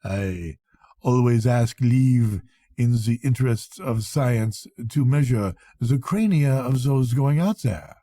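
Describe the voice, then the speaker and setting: A man voicing an odd, shabby little doctor who mumbles slowly and speaks in a calm, matter-of-fact way. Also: medium pitch, no echo, clean studio sound, natural voice. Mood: calm, probing.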